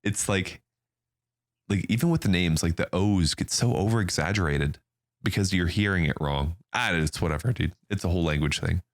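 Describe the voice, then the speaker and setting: A man's voice: deep voice, normal speed, no room sound, clean studio sound. A man from Germany, a native German speaker, chatting casually in English with blunt, slangy opinions and some swearing.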